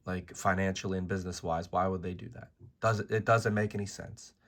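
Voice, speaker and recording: Hoarse low-voiced man ranting. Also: steady tone, a little fast. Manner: blunt, profane, exasperated ranting. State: hoarse from a lost voice and sick. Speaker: trading card game YouTuber. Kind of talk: ranting video commentary.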